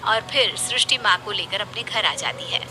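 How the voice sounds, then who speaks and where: A woman's voice: mid-range voice, lively intonation, normal speed, background noise. A woman reading scripted narration for a moral story.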